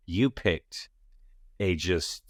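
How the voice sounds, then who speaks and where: Male podcast host, enthusiastic, low voice, dry studio sound. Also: drawn-out words, no echo. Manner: upbeat, enthusiastic. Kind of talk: podcast hosting. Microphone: studio mic.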